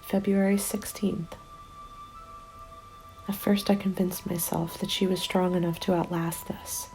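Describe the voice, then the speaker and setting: A woman playing a haunted, determined zombie apocalypse survivor in an ASMR roleplay, speaking in a soft, breathy half-whisper with an intimate, deliberate delivery. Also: lowish voice, level tone, poor audio. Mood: grieving, bleak. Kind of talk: ASMR roleplay, dramatic monologue. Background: background music.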